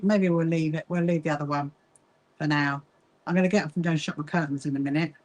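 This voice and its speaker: A woman's voice: low-pitched, steady tone, fast, darkish tone. Chatty English woman with a Kentish-Sussex lilt. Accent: Kentish mixed with Sussex. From south coast of England. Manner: chatty, greets viewers and answers their comments. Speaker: livestream host of a quilting association. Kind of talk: casual livestream chat while sewing.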